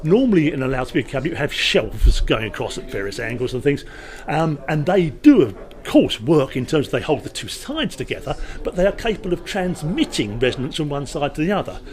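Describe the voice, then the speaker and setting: A man's voice: a little high, sing-song, a little fast, darkish tone. A man speaking as a company representative, informally explaining a product at a trade show with emphatic salesman's patter and wry asides.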